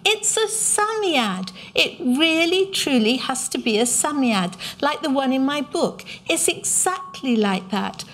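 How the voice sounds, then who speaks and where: An eager young girl from a storybook squeals with delight, voiced by a woman reading aloud. Her voice jumps high and bright. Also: a little slow, hardly any pauses, heavily acted. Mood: excited. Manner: squealing and excited.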